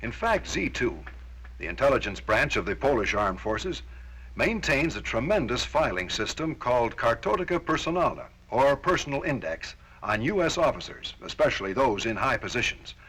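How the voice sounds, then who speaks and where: A man's voice: medium pitch, sharp tone, slight background noise, poor audio. An American man delivering stern, formal, authoritative scripted narration as presenter of a Cold War-era military training film.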